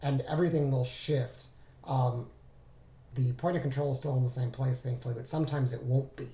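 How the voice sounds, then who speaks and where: A man with a raspy, hoarse voice recovering from laryngitis, giving an informal, halting screen-share tutorial as a trading instructor. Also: mid-range voice, fairly even intonation, mellow. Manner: halting, thinking aloud. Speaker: trading instructor reviewing charts. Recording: roomy, echoing voice recording.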